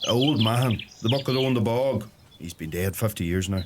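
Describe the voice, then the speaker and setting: An actor playing a young, dry-humoured Donegal farmer in a radio play, understated and colloquial in manner. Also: male voice, lowish voice, moderate pace, background noise, close to an everyday voice. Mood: startled, joking. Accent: Donegal Irish. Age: young adult.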